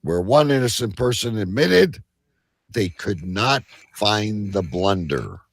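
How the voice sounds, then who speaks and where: A man's voice: on the low side, big pitch swings, slow pace, vocal fry. A man hosting a live talk show, reading out odd news and bantering casually with crude jokes. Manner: crude, joking.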